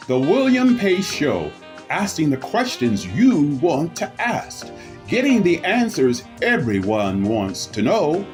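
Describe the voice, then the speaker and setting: Promo announcer, measured grand delivery over a music bed. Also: mid-range voice, wildly swooping pitch, a little mellow. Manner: grand, rhythmic list reading. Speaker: show announcer. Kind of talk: scripted promo narration.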